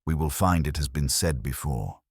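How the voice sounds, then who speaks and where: A man's voice: extremely low pitch, lively intonation, normal speed, husky, no echo, clean studio sound, exaggerated voice. A man voicing an imperious older genius detective with a pompous, terse, oracular manner in an audiobook mystery. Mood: commanding.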